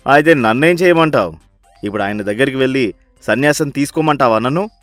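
A man voicing a stingy, gruff village father in a cartoon moral story, scolding and suspicious. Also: medium pitch, a little fast, fairly bright tone, dry recording, faint music behind, close to an everyday voice. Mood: irritated, scornful.

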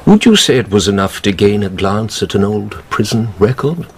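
Composed nobleman, low voice, deliberate, radio drama. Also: expressive, husky. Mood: calm. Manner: measured and deliberate. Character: cool, calculating disguised nobleman.

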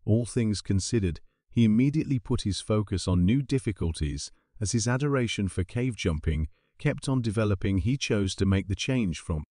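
A man reading a scripted documentary narration in a steady, even voice-over. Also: on the low side, no echo, studio quality. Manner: steady, even reading of garbled paraphrased text.

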